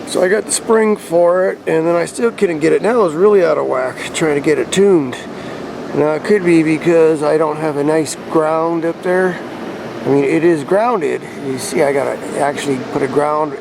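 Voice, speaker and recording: Gravelly, laid-back man chatting over outdoor noise. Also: on the high side. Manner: laid-back, conversational, explanatory. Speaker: vlogger showing a DIY radio antenna install. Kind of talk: casual rambling vlog talk to camera. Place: outdoors beside his truck. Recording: clear outdoor ambient and vehicle noise.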